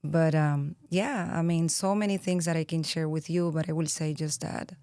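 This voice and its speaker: A woman in her thirties from Venezuela with a Venezuelan Spanish accent, a podcast guest speaking earnestly and reflectively, pausing often with fillers. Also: sits low, steady tone, mellow, smooth, dry recording, clean studio sound. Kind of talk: interview conversation.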